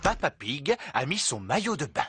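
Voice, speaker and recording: A man narrating a children's cartoon in a calm, scripted storybook manner. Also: on the high side, normal speed, music in the background. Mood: plain. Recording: noisy clip played back.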